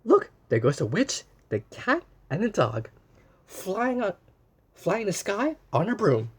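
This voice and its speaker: Very slow, husky male storyteller. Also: high voice. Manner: animated, exclaiming, pausing to point things out. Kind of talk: read-aloud of a children's picture book.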